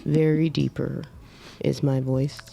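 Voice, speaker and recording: A woman co-hosting a casual live-streamed show, tired and with her voice lower than usual, making dry teasing remarks. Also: little pitch movement, slow pace, vocal fry, mellow, a little hiss or hum. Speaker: co-host.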